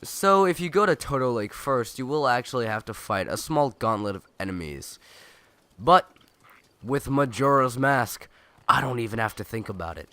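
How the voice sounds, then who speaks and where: A young man with a cold, his nose stuffed and his voice raspy, rambling chattily and correcting himself as he comments on a video game. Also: medium pitch, lively intonation, normal speed, bright, forceful tone, no room sound. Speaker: let's play gamer. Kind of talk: casual gameplay commentary.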